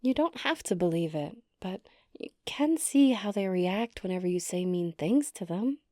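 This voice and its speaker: Woman as a nurturing giantess, gentle, teasing, soft warm timbre, very dry close recording, ASMR roleplay. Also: lowish voice, lively intonation, moderate pace, dry recording, natural voice. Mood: coaxing, chiding.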